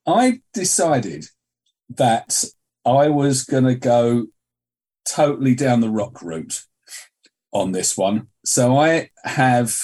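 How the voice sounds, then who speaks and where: A man in his sixties co-hosting a relaxed chat, speaking with a husky edge. His humor is dry and sarcastic, every remark delivered wryly. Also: medium pitch, slow pace, long pauses. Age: 60s. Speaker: co-host. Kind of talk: casual chat.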